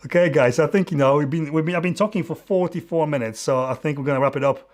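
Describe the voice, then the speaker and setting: A man in his forties with a Polish accent chats through a live stream from his dim home studio. He talks in a halting, open way, restarting his sentences and filling the gaps as he answers questions. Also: a little high, darkish tone. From Poland. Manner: halting, many restarts and fillers, friendly and open. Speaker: photographer and YouTuber hosting a live Q&A. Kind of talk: live-stream Q&A, casual chat.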